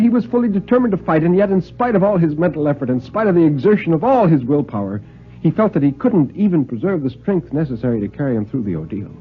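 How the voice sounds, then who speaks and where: A man giving a theatrical, deliberate dramatic story reading with precise diction, in 1950s radio style. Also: mid-range voice. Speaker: dramatic reader on a radio show. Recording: muffled vintage radio recording, music.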